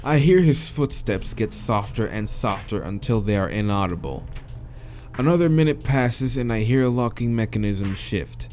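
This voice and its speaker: Expressive, low-voiced man reading aloud. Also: normal speed, faint music behind. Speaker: let's player reading aloud a visual novel. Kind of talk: dramatic read-aloud narration with casual asides.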